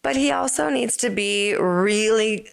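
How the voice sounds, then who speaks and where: A woman's voice: mid-range voice, measured pace, gravelly, smooth. Encouraging woman host, frequent affirmations. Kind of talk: casual interview conversation.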